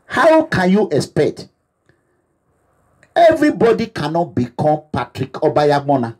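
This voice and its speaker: A man's voice: on the high side, wildly swooping pitch, measured pace, darkish tone. A Nigerian man, an activist leader, giving an impassioned, repetitive address to his supporters in a preaching manner. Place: abroad, away from home.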